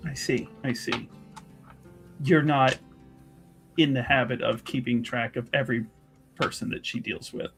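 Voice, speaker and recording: A man's voice: mid-range voice, fairly even intonation, unhurried, long pauses, a bit bright, faint music behind. A man playing a polite, diplomatic female investigator in a tabletop roleplaying game, speaking in careful, formal, hedging phrases. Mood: probing.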